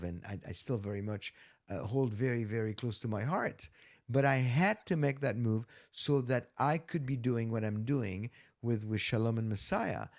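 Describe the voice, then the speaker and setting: Male panelist, reflective and measured, lots of "uh"s and pauses, middle pitch. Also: darkish tone. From France. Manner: reflective, measured, many fillers. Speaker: ministry teacher, panelist. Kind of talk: casual panel discussion.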